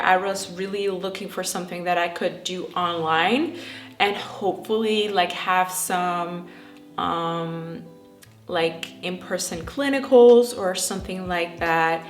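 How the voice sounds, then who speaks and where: Chatty young Dutch woman, echoey room. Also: lowish voice. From Netherlands. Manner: chatty, frequent fillers like "like" and "um". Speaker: trichologist and YouTuber. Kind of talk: casual chat to camera. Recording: echoey room with faint music.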